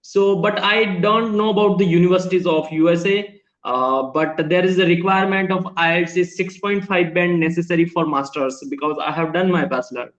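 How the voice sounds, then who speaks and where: A man whose first language is Hindi talks in polite, earnest, simple English, his voice a little higher than most men's. He is on a laptop video call in an echoey room, and the sound is dull and lo-fi. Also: moderate pace, few pauses. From India. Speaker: English learner. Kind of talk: casual online conversation lesson. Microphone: laptop microphone on a video call.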